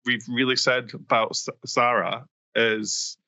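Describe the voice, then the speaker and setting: A man co-hosting a podcast, chatting casually and quickly with lots of ums and side remarks. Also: mid-range voice, fairly even intonation, vocal fry, bright, forceful tone, dry recording, lo-fi sound. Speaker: podcast co-host. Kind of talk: casual chat about a reality show.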